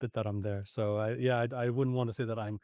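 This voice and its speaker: A man's voice: medium pitch, level tone, fast, darkish tone, no room sound. A man in his forties, raised in Nigeria, Ethiopia and the UK, speaking reflectively as an interview guest with many restarts. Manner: reflective, with frequent restarts and fillers. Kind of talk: conversational interview.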